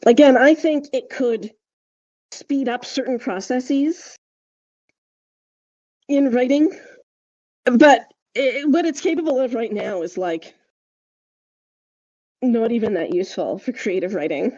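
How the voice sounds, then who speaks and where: A woman's voice: fairly high pitch, slow pace, pauses often, a little mellow, highs cut off. A woman chatting casually and emphatically as a podcast co-host, wry and discursive, with plenty of "like" along the way. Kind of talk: casual opinionated podcast chat.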